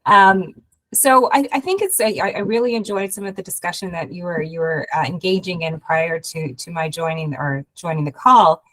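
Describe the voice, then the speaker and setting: A woman from Canada, hoarse from a cold, giving a halting webinar talk over a video call with many fillers and restarts. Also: fairly low pitch, a bit bright, poor audio. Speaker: guest speaker, investment program head. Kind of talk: webinar presentation.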